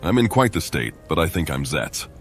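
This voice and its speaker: A grim fantasy game warrior with a deep voice. He is terse, dry and unfazed, with faint music behind him. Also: very animated, moderate pace, exaggerated voice. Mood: dry, composed. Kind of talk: video game character acting.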